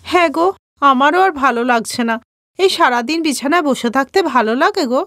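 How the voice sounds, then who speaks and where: Gentle elder daughter-in-law, a woman doing the voice, cartoon story. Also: high voice, expressive, a little fast, no room sound, clean studio sound.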